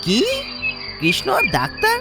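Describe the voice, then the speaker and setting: A woman's voice: a little slow, loud music behind the voice, natural voice. A woman voicing a polite, questioning young friend from the city in a cartoon. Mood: polite, surprised. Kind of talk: cartoon dialogue.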